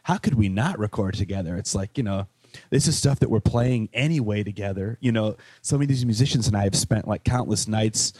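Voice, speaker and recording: Rambling Chicago man, low and dry. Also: fairly quick, darkish tone, slightly rough, no room sound. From Chicago, with Welsh roots. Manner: rambling, many fillers and restarts, enthusiastic. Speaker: interview guest, musician and DJ. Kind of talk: casual interview chat.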